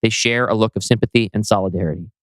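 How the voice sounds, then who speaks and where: A man's voice: on the low side, quick pace, dry recording. A man reading scene directions from a script as a podcast host. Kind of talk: scripted narration of a screenplay. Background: music.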